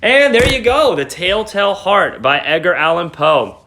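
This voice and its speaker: A man's voice: sits high, lively intonation, normal speed, bright, forceful tone. A young man giving a casual lesson as a teacher, recorded at arm's length in a small room at home. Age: young adult. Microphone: phone or laptop at arm's length.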